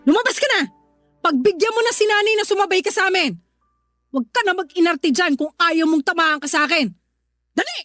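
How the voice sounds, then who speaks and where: A woman's voice: very high voice, animated, a little fast, fairly bright tone, no room sound, soft background music. A woman playing a bossy, hot-tempered but caring older sister in a Filipino radio drama, scolding bluntly. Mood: gruffly caring.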